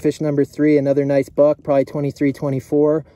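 A man's voice: a little high, completely flat delivery, quick pace, warm tone, a little hiss or hum. A man hosting a fishing video, giving upbeat play-by-play from a boat on a lake, recorded on an action camera. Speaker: fishing channel host. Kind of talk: casual fishing commentary.

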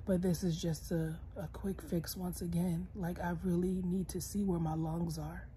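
A young woman lying in bed and talking casually to her phone camera, congested and hoarse, tired and soft-spoken, sometimes dropping to a whisper. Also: lowish voice, level tone, normal speed, slight background noise. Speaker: vlogger. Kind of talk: casual personal vlog. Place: bedroom, lying in bed indoors. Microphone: phone camera close, held low.

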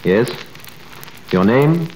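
An actor playing a guarded crown prosecutor who questions a prisoner in a measured, authoritative way, in a 1930s radio drama. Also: male voice, medium pitch, expressive, a little mellow. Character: cold, guarded crown prosecutor, grown man. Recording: roomy old radio recording with faint hiss.

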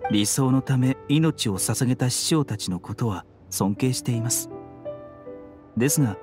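An actor playing a serene, idealistic young male physician in a game, speaking in polite, measured, philosophical phrases. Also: mid-range voice, over music, natural voice. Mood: composed, determined. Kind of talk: scripted game dialogue.

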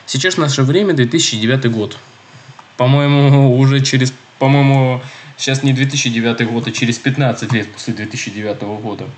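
Fast-talking man with a stuffy nose. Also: medium pitch, a little hiss or hum. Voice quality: nasal. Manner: chatty, rambling, self-correcting. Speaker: gaming video host. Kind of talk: casual let's-play commentary, reading game text aloud.

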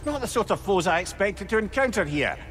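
A man's voice: high-pitched, lively intonation, fairly quick. A man playing a wry, chatty advisor in a video game, with a droll, eloquent, frank way of talking. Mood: musing. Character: wry, chatty, candid male advisor and companion. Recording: game audio with ambient noise.

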